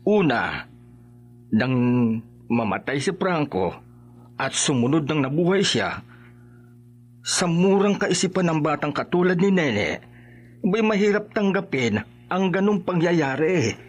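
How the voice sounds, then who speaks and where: Concerned grandpa, hesitant, pitch swings a lot, radio horror drama. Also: male voice, a little slow, long pauses, husky, exaggerated voice. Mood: reassuring. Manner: hesitant, expressive, musing aloud. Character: worried, well-meaning grandfather, curious about neighbours.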